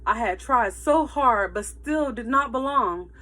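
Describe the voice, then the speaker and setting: A woman teacher reading a story aloud to children in an animated, emphatic way, with asides to her listeners. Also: fairly high pitch, a little slow.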